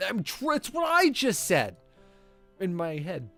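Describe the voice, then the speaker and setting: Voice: medium pitch, wildly swooping pitch, measured pace, fairly bright tone, soft background music. A game streamer reading a visual novel aloud in a playful, theatrical way, stumbling over the text and reacting casually.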